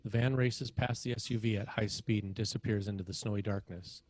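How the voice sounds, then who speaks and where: A man's voice: lowish voice, fairly even intonation, brisk, darkish tone. A man narrating screenplay scene headings and action in a scripted read.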